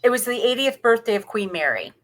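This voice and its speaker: A woman's voice: fairly high pitch, sing-song, fast. A woman co-hosting a podcast in casual discussion, chipping in with facts and corrections. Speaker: podcast co-host.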